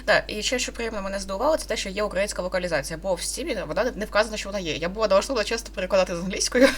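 A woman's voice: medium pitch, racing, a little hiss or hum. A woman in her twenties giving casual let's-play commentary in a hesitant, rambling way, often correcting herself. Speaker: gaming video host. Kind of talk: casual let's-play commentary, reading game dialogue aloud.

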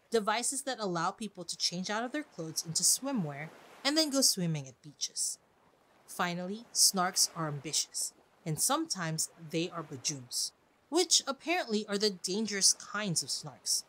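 A woman's voice: lowish voice, a bit bright, low-quality recording. Woman podcaster with wry asides. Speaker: podcast host. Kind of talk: chatty solo literary commentary.

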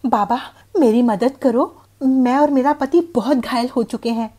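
A woman's voice: fairly high pitch, unhurried, dark tone, voice pushed up. A woman voicing an injured young wife pleading urgently in a cartoon horror story, on a noisy recording. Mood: desperate. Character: injured, desperate young wife begging for help.

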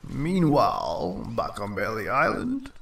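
A man's voice: on the low side, huge pitch swings, slow pace, gravelly, fairly bright tone, far from the mic, a little hiss or hum. A man giving casual let's play commentary as a gaming video host. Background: game audio and music.

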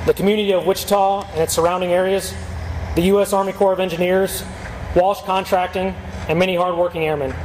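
A military officer, a man with a notably high voice, reads a prepared ceremonial address. He moves along quickly. His words ring through a big hangar over clear background noise. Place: large open hangar facing airfield. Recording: echoing hangar PA with steady ambient noise.

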